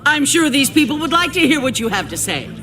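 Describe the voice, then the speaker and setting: An actress playing a cold, ruthless survivor town leader in a video game, theatrical, menacing and mock-sincere. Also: female voice, high voice, big pitch swings, a little fast, over music. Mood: coolly menacing. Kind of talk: dramatic video game acting.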